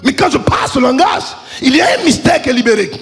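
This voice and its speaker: A man pastor preaching a fiery sermon in a church, with chanted and shouted peaks, and questions he answers himself. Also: lively intonation, fast, gravelly, soft background music. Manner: preaching with sung, shouted crescendos then dropping low; asks the congregation questions and answers them himself. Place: church service.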